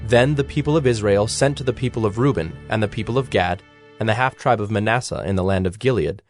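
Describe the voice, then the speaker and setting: A man giving a measured, formal narration of scripture as the narrator of a dramatized audio production. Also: lowish voice. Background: instrumental music with plucked strings.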